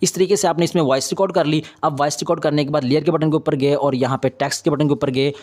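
A man's voice: a little high, fairly even intonation, fast talker, darkish tone. A man hosting an informal step-by-step screen tutorial, instructing with frequent repeated confirming tags. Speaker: video editing tutorial host.

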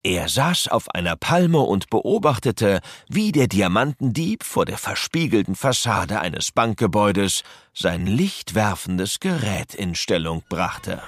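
A man narrating a children's adventure audio drama as a measured storyteller. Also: fairly low pitch, very animated, exaggerated voice. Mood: composed, suspenseful. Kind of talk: scripted narration.

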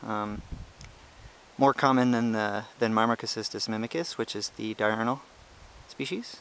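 A young man casually narrating a hiking vlog outdoors on a trail, explaining things in a relaxed, chatty way with many fillers, recorded on the handheld camera he films with. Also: on the low side, moderate pace, slight background noise, low-quality recording. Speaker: ant-keeping channel host. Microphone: handheld camera he is filming with.

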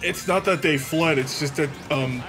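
A man's voice: on the high side, moderate pace. A man giving casual video game commentary as a let's player, joking sarcastically and acting out mock dialogue. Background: video game music.

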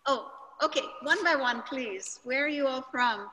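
A woman's voice: sits high, normal speed, bright, forceful tone, slight background noise, poor audio. A woman hosting an online class over a video call, calling on participants one by one in a friendly way. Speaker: session host.

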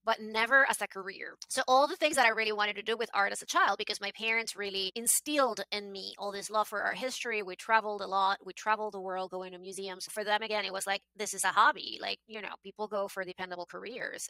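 A woman in her forties from Venezuela, an art advisor speaking as an interview guest in an effusive, high-energy way, talking into a headset mic in a home office. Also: brisk, pushed and bright, no echo, low-quality recording. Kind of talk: casual interview talk. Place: home office with bookshelves, indoors. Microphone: headset microphone.

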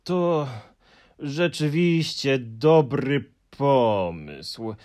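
A man's voice: mid-range voice, lively intonation, a little mellow, voice pushed up. Hemulen: a man doing a gloomy fussy creature. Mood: mournful. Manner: mournful, sighing, drawn-out complaints. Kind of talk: audiobook character voice.

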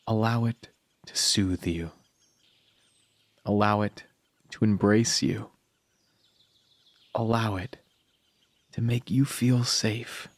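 A man narrating a scripted guided meditation in a calm, soothing manner with long pauses. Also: on the low side, breathy edge, no echo.

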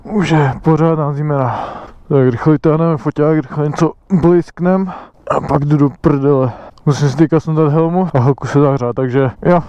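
A young Czech man talks to his helmet camera while riding, his voice a little high and smooth. He laughs often and swears casually. The sound is dull and lo-fi, recorded outdoors on the move. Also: fairly even intonation, dark tone, no room sound. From Czech Republic. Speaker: motovlogger. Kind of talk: casual helmet-cam motovlog chat. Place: outdoors riding an electric motorbike.

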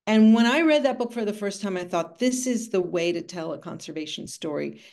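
A woman's voice: mid-range voice, lively intonation, a little fast, creaky, darkish tone. A woman in her sixties, born in Cuba, telling her story at length as an author in a video call interview, thoughtful and full of asides. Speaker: author and art conservator. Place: home room with bookshelves.

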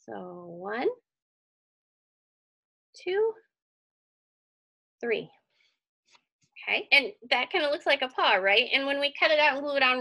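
A woman's voice: a little high, gravelly, bright, forceful tone. A woman giving a casual step-by-step craft tutorial for children, chatting and thinking aloud in a reassuring way. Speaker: craft teacher. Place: indoor room at home.

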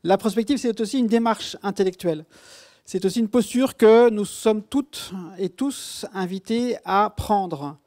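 A man's voice: high-pitched, unhurried, a little mellow. An older man hosting a conference, introducing a speaker in an emphatic, fluent talk on a hall stage with a handheld mic. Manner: fluent with some fillers, emphatic. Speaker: festival host.

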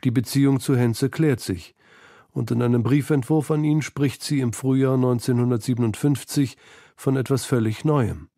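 A man's voice: mid-range voice, fairly even intonation, moderate pace, warm tone, no room sound, studio quality. A man narrating a scripted literary documentary feature.

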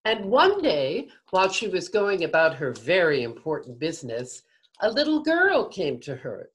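A woman's voice: lowish voice, wildly swooping pitch, moderate pace. A woman in her sixties giving scripted storytelling for children, recorded over a video call from a room at home. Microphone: video call computer mic.

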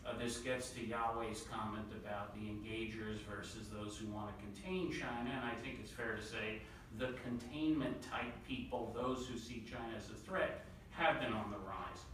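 A bright-voiced man speaks quickly at a conference table, piling up "uh"s and restarts. He is picked up from a distance in a large, very reverberant room with a faint hiss. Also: fairly low pitch. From New York City area. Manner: quick-talking, with many fillers and repeated words. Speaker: panelist. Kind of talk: policy panel remarks. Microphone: table mic, recorded from the far side of the room. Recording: echoey room audio from far off.

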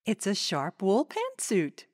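Slow, expressive mid-pitched voice, gentle monster mom, played by a woman, coaxing, audio drama. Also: no room sound, clean studio sound, heavily acted. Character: gentle, composed monster mother.

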